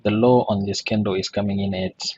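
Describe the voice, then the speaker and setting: Male trading tutor, low, raspy, slightly hoarse voice; lo-fi home mic. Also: fairly even intonation, brisk, dark tone, no room sound. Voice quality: husky. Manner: rhetorical questions and frequent "right?" checks. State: voice still hoarse after a throat infection. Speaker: forex trading educator. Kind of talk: screen-share chart walkthrough lesson.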